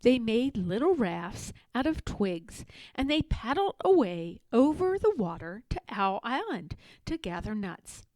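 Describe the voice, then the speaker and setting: A woman reads a children's story aloud in a high voice with very lively intonation. The sound is dry and close, and her delivery is expressive and measured. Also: dry recording, poor audio. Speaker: storybook reader. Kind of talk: scripted children's story narration.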